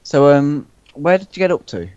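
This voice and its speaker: A man's voice: a little high, very animated, moderate pace, a little mellow, clear, resonant tone, dry recording. A dull, lo-fi recording of a man in casual conversation with faint guitar music behind him. He sounds flustered and defensive, stumbling and restarting as he explains his own writing. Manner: flustered, self-excusing, stumbling over words. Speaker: amateur writer of the story being read. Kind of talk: casual chat.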